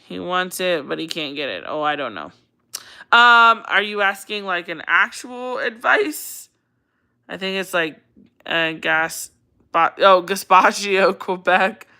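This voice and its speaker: A woman's voice: medium pitch, bright, forceful tone, clear, resonant tone. An American woman from Colorado hosting a casual livestream, chatty and rambling, repeating phrases for emphasis as she answers viewers. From Colorado, United States. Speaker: livestream host.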